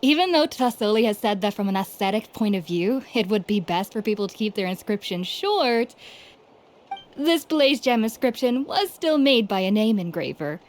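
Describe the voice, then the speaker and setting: A woman's voice: medium pitch, a little hiss or hum, lightly acted. A woman playing an amiable young townswoman in a video game, talking in a conversational, explanatory way. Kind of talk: video game character acting. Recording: game music behind. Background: game music.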